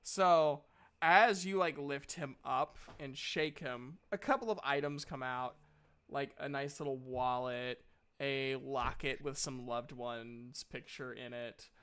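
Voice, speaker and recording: Halting man narrating a game, bright clear voice. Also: mid-range voice, steady tone. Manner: halting, searching for words as he describes. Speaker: game master. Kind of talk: improvised tabletop game narration.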